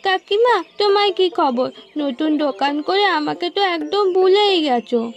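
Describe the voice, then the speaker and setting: A woman's voice: extremely high pitch, expressive, normal speed. A woman voicing an eager, helpful little village girl in a cartoon, with a chatty manner. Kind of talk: cartoon dialogue. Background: background music.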